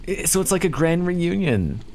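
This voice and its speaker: A man's voice: a little high, big pitch swings, normal speed, a little mellow, slight background noise. A man voicing a smug, playfully menacing vampire captor in an ASMR roleplay, speaking to the listener in a theatrical, condescending and teasing way. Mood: mock cheerful. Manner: condescending, theatrical, teasing drawl with pet names.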